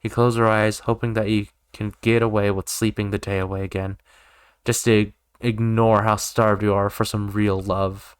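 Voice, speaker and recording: A man's voice: lowish voice, flat delivery, moderate pace, clean tone, no room sound. A man reading a visual novel aloud as a gaming YouTuber, sometimes stepping in with casual asides.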